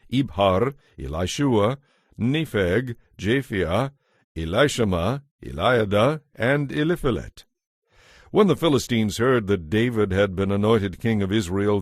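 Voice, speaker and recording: A man narrating scripture from a script in a measured, solemn manner. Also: on the low side, no room sound, clean studio sound. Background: soft music.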